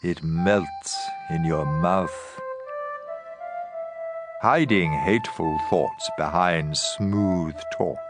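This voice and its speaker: A man giving a measured, expressive, scripted narration of scripture as a dramatized audiobook. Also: sits low. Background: plucked guitar music.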